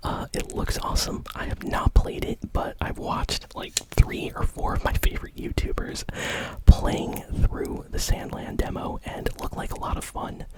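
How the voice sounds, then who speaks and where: A man whispering casual, conversational ASMR gaming news commentary in a breathy, unvoiced voice. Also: normal speed, low-quality recording.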